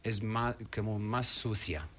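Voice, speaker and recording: A man with an Arabic accent, a guest in a casual interview, speaking in halting non-native phrasing with frequent pauses. His first language is Arabic. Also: on the low side, fairly bright tone, slight background noise.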